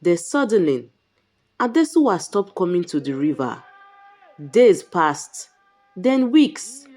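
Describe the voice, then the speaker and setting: Slow, low-voiced woman telling a dramatic folktale. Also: very animated, darkish tone. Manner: deliberate, suspenseful phrasing with short pauses. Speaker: storyteller. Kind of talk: dramatic scripted folktale narration.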